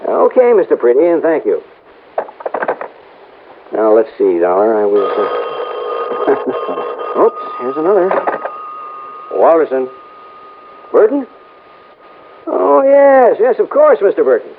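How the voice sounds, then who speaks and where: Animated insurance salesman, tinny vintage radio. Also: on the high side, full character voice. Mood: busy, obliging. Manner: animated, brisk, obliging. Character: eager, busy, salesmanlike male insurance agent. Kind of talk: 1950s radio drama acting. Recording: tinny old radio recording, faint noise.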